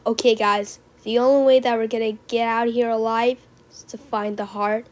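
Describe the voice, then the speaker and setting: A woman performing homemade character lines as an amateur voice actor, theatrical, with gasps and drawn-out exclamations. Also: on the high side, level tone, a little mellow, a little hiss or hum. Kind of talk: homemade character voice lines, animated movie remake.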